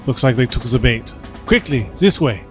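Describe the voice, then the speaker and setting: A practical, loyal adventurer played by a man in an audio drama. The pitch is medium for a man. Prominent music runs behind the lines. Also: lively intonation, moderate pace, close to an everyday voice. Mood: tense, practical. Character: loyal, practical male companion on a dangerous escape.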